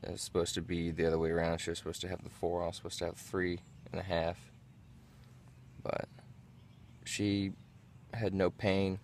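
Casual male vlogger, deep and creaky, low-key and halting, reviewing gear in a tent. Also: monotone, darkish tone, a little hiss or hum. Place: inside a small backyard tent.

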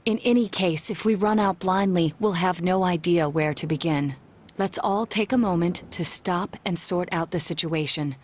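A woman playing a calm, analytical young detective in a video game, speaking in a formal, measured and precise way. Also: fairly low pitch, slight background noise, lightly acted. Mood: composed. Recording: music under the dialogue.

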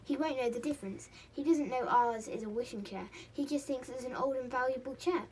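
A woman's voice: high-pitched, fairly quick, darkish tone, a little hiss or hum, lightly acted. A woman voicing a clever, mischievous male pixie in a children's storybook. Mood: reassuring, scheming.

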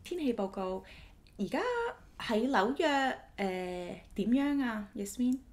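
Woman co-host on a video call, casual and playful, mixing English and Cantonese. Her pitch swings widely as she talks. She sounds lively and teasing. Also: slow. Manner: animated, playful, jumps between languages. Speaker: podcast co-host. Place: home in Florida.

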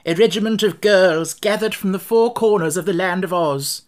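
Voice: medium pitch, normal speed, voice pushed up, lightly acted. A storybook reader voicing a timid, honest male soldier who talks in a nervous, deferential way. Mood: fearful.

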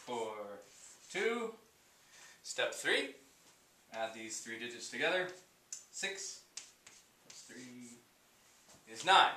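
A man in his forties teaching a chalkboard math lesson to camera, slow and unhurried, full of wonder, with fillers. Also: medium pitch, sharp tone, slight background noise. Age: 40s. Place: room with a chalkboard, indoors. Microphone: camera at a distance. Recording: echoey room, voice from a distance.

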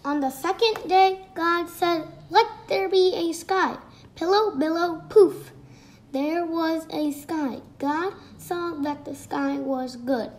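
A woman's voice: very high voice, slow pace. A woman reading a children's creation story aloud, playfully voicing the sound effects.

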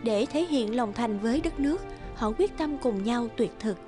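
A woman's voice: a little high, lively intonation, moderate pace, warm tone. A woman reading scripted fairy-tale narration as a storyteller. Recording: music behind the voice. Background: music.

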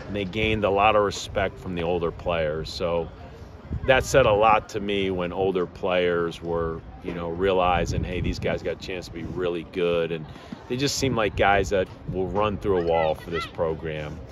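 A man in his fifties with a very low voice gives a measured postgame interview at a ballpark. He talks plainly, circles back with fillers and restarts, and steady outdoor noise hums behind him. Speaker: head baseball coach.

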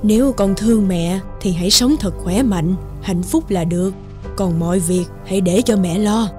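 A woman's voice: medium pitch, normal speed, a little mellow, faint music behind, lightly acted. A woman voicing a kind, lonely older peasant mother in a cartoon fairy tale, fussing and soothing as she talks. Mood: tender. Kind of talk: animated fairy tale voice acting.

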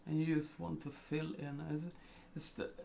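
A man's voice: fairly high pitch, little pitch movement, normal speed, vocal fry, dark tone. A middle-aged man from Sweden with a Swedish accent, talking through a photo editing tutorial at his computer and thinking aloud with many ums and uhs. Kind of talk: casual screen-recorded tutorial.

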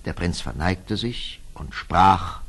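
Low, husky male storyteller. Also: slow. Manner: expressive, dramatic storytelling. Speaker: narrator. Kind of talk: scripted fairy-tale narration.

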